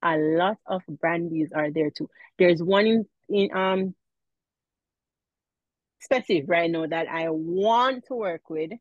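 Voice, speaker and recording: A woman with a Jamaican accent, chatting as a guest in a casual interview, animated and laughing often. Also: lowish voice, takes it slowly, no echo, highs cut off. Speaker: guest, mom influencer.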